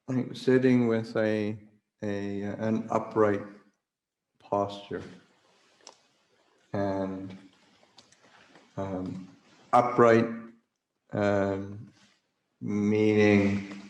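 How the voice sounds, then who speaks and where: A man with a fairly low voice leads a guided meditation. He speaks extremely slowly, in short halting phrases with long pauses and searching hesitations. The recording is echoey and roomy. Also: little pitch movement. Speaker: Buddhist monk leading a guided meditation. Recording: roomy, echoing livestream recording.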